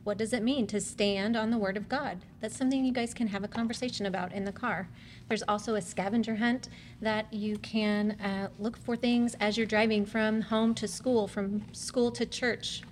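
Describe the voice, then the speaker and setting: A woman's voice: mid-range voice, fairly even intonation, moderate pace. A woman speaking as a mother and guest presenter, explaining things in simple family talk. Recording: reverberant room with background noise.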